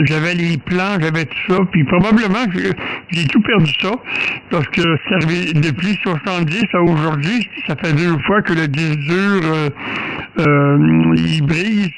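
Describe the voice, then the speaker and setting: An older man with a Quebec accent talks casually as a ham radio operator, repeating words as he goes. His voice is gravelly and pitched a little high for a man, with some noise around it. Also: a bit bright, low-quality recording. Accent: Quebec French. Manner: repeats words, English exclamations. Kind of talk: casual two-way radio chat.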